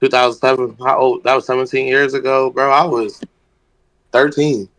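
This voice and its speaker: Bright-voiced man in his thirties joking. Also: medium pitch, little pitch movement, dry recording, low-quality recording. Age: 30s. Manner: quick, joking remarks. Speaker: reactor. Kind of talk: casual group banter.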